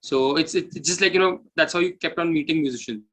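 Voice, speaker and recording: A man from India with an Indian English accent talks as a musician being interviewed. His voice is bright and sharp, a little higher than average. He rambles in a loose, chatty way. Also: fairly quick. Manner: loose, rambling, frequent "like, you know". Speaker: band bassist and founder, interview guest. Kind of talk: casual interview chat.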